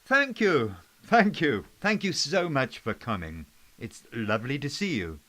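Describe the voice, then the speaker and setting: A man's voice: mid-range voice, big pitch swings, a little slow, fairly bright tone. A man playing a polite, folksy British Prime Minister in an amateur play, chatty and a little hesitant as he gives a public address. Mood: polite, addressing crowd. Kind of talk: amateur play script performance.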